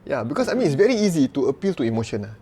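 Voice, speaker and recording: A man's voice: medium pitch, sing-song, racing, mellow, breathy edge. A man with a Singaporean accent hosting a casual podcast discussion, probing with rhetorical questions. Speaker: podcast host.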